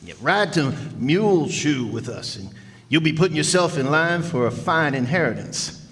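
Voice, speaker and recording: Blunt outlaw henchman male actor, highish, swooping delivery, western radio play staged in an echoey hall. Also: lively intonation, moderate pace, a bit bright. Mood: coaxing. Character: Elk, male cowboy outlaw sidekick, blunt follower. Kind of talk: old-time western radio drama acting.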